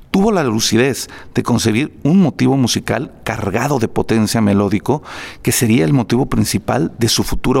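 A man's voice: mid-range voice, creaky, a little mellow. A man presenting spoken program notes before a classical concert, reading prepared text with fillers and restarts.

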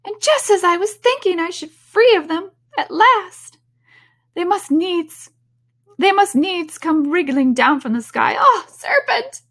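A woman's voice: big pitch swings, unhurried. A woman voicing a frantic, scolding mother pigeon from a storybook, screeching and exasperated. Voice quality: shrill, screeching. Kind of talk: storybook character voice.

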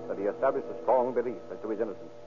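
Actor as a brisk old-time radio narrator. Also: male voice, lowish voice, lightly acted. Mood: formal. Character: formal male crime-show narrator. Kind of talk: 1930s radio scripted narration. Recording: muffled old radio recording. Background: music.

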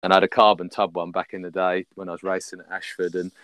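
A man, a podcast guest, chatting casually and rambling with many fillers and restarts, his voice husky from a recent illness. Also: deep voice, little pitch movement, quick pace, a bit bright, no room sound. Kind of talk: casual chat.

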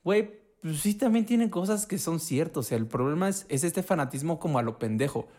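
A man with a Mexican accent chatting casually as a podcast co-host, swearing often and acting out quotes in an animated way. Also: on the high side, fairly quick. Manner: slangy, profane and animated, acts out quotes. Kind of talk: casual conversation.

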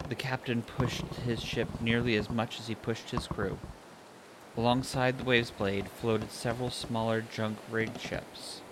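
A man's voice: medium pitch, flat delivery, a little slow. A man narrating a scripted fantasy audio story, with ambient sound design behind him. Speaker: narrator and author. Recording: clear background noise, ambient sound design. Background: ambient sound effects.

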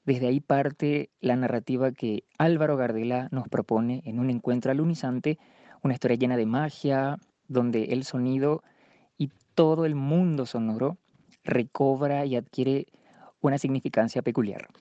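Mellow man, dull dry recording. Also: mid-range voice, fairly even intonation, normal speed, no echo. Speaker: workshop coordinator. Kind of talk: explanatory presentation of a story.

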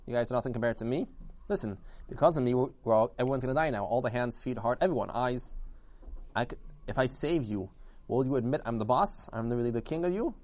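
A man's voice: medium pitch, lively intonation, fast talker, a little mellow, no room sound, close to an everyday voice. A man voicing a proud, scheming talking tongue in an oral tale, self-important and insistent. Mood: smug.

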